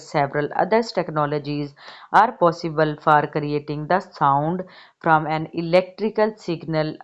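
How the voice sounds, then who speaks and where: A woman reading an encyclopedia article aloud, haltingly, stumbling over words and repeating them. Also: low voice. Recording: dull, lo-fi recording.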